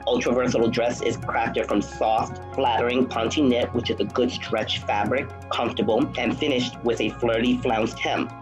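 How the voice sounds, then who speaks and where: A man presenting student outfits, describing each garment in a smooth, salesy way. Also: medium pitch, fairly even intonation, moderate pace, few pauses, poor audio. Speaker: fashion presenter. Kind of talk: runway-style commentary on outfits. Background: background music.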